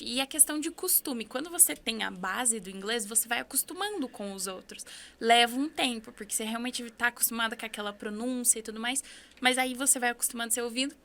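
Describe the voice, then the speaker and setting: A woman's voice: fairly high pitch, vocal fry, pushed and bright. A Brazilian woman, an English teacher, chatting as a podcast guest and giving upbeat, encouraging advice. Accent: Brazilian Portuguese. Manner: upbeat, encouraging, tag questions. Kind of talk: casual podcast conversation.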